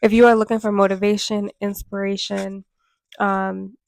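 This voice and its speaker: A woman's voice: medium pitch, flat delivery, normal speed, creaky, darkish tone, no echo. A woman in her twenties, nasal and tired from a cold, chatting earnestly to camera in a casual vlog with many fillers. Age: 20s. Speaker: vlogger. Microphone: microphone.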